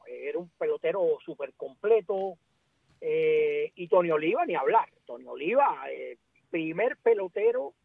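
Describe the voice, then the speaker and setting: A man's voice: fairly high pitch, creaky, husky, no room sound. A man with a Cuban accent discussing sports casually as a guest commentator, emphatic and repeating words, over a narrow radio or phone-like connection. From Cuba.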